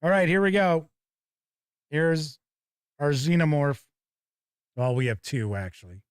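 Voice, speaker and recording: A man's voice: a little high, big pitch swings, unhurried, clean tone, dry recording, clean studio sound. A man hosting a tabletop gaming show, giving chatty, casual live commentary as he looks over products and talks with viewers.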